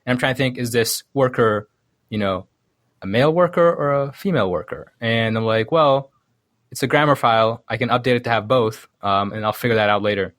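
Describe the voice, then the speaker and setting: A man from Sudan explaining things casually as an interview guest, haltingly thinking aloud and correcting himself as he goes. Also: medium pitch, fairly bright tone, dry recording, studio quality. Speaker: podcast guest, programmer.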